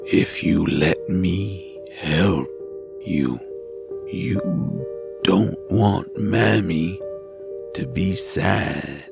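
A man playing a huge, sly man-eating rock monster in an audio drama, gravelly, halting and solemn, with a falsely tender coaxing manner. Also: extremely low pitch, heavily acted.

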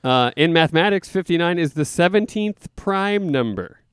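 Man, podcast co-host, joking banter, laughs a lot, smooth voice, dry close mic. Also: on the high side, lively intonation, moderate pace, no echo. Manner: jokey, laughs often, rambling. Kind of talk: casual chat.

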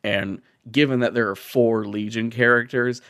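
A man's voice: medium pitch, steady tone, moderate pace, a bit bright, no room sound, studio quality. A man hosting a podcast, talking casually and comedically with a wry, profane streak. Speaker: podcast host.

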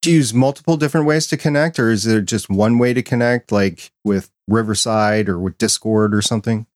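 A man's voice: on the low side, a little fast, no room sound, clean studio sound. A man hosting a podcast, speaking conversationally as he interviews a guest.

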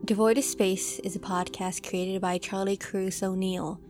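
A woman reading scripted narration for a horror audio drama trailer in a measured, dramatic delivery. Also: mid-range voice, flat delivery. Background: music.

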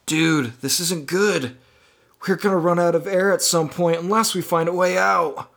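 A man's voice: high-pitched, fairly even intonation, moderate pace, gravelly, fairly bright tone, voice pushed up. A man voicing a worried, frustrated boy who grumbles to his friend in an adventure story. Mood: worried, grumbling.

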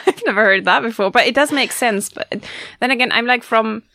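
A woman in her twenties from Germany, hosting a casual student radio chat, talking fast and loose with frequent laughs and restarts. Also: on the high side, bright, forceful tone, no room sound, clean studio sound. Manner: chatty, laughs often, restarts sentences.

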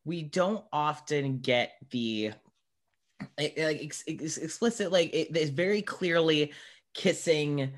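A man's voice: a little high, slow. A man hosting a podcast, chatting casually and often rephrasing as he speaks. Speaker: podcast host interviewing a guest. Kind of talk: casual interview chat.

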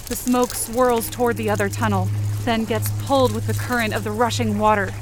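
A woman's voice: on the high side, fairly even intonation, brisk, a little hiss or hum. A woman giving intense, theatrical first-person narration for a scripted fiction podcast, in short dramatic sentences. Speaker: writer and performer of fiction podcast.

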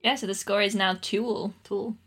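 Female podcast host, bright and forceful tone, medium pitch, casual and self-mocking. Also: steady tone, a little fast, husky.

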